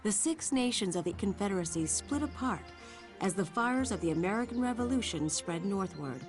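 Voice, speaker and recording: Woman acting frontier heroine, wry, tough, lively intonation, game cutscene narration, clear musical backing. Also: medium pitch, fairly quick, soft background music, lightly acted. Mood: solemn storytelling. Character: tough, wry frontier businesswoman narrating her family's saga.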